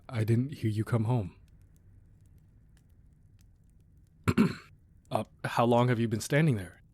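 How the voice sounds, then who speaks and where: A man playing a weary, menacing crime boss with a tender side, in a controlled, deliberate ASMR roleplay delivery. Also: medium pitch, a little mellow, breathy edge, dry recording, lightly acted. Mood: gentle, weary. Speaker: audio roleplay voice actor. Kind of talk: ASMR roleplay acting, close and dramatic.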